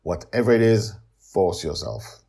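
A man voicing a forceful, blunt woman in a storybook, emphatic and insistent, with a husky voice. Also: low-pitched, lively intonation, a little slow, heavily acted. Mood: firm, urging.

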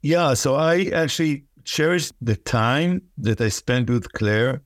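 A man from Israel, whose first language is Hebrew, speaking thoughtfully as a poet guest in an interview, pausing and laughing softly. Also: medium pitch, lively intonation, dry recording, studio quality. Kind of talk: reflective interview talk.

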